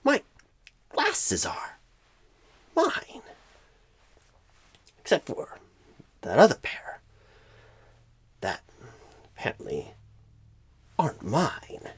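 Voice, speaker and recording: A man voicing a clueless, flustered job recruiter in an ASMR roleplay, rambling with odd pauses mid-sentence. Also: fairly high pitch, huge pitch swings, breathy edge, no room sound, heavily acted. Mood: distracted, flustered. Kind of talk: ASMR roleplay, comic improvised monologue.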